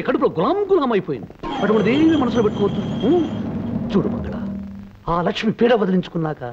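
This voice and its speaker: Brief: leering comic rake, lively swooping voice, old muffled film soundtrack with music. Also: male voice, high voice, slight background noise. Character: leering womanizing young male rake, sly and mocking. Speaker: lecherous comic villain in a film.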